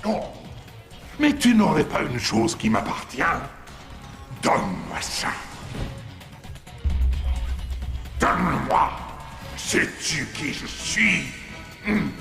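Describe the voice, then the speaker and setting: A man's voice: fairly high pitch, sing-song, gravelly, fairly bright tone, heavily acted. A man playing a wicked, theatrical puppet-master monster in a French cartoon, mocking and grandiose, gloating with menace. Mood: menacing. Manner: mocking, grandiose, drawn-out delivery. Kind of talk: animated cartoon villain acting, French dub. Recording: roomy echo, music underneath.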